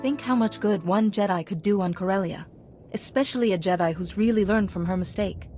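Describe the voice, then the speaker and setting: An actress playing a calm, resolute female Jedi in a video game, speaking in a composed, earnest way. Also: mid-range voice, brisk, lightly acted. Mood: composed, concerned. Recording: dramatic music underneath.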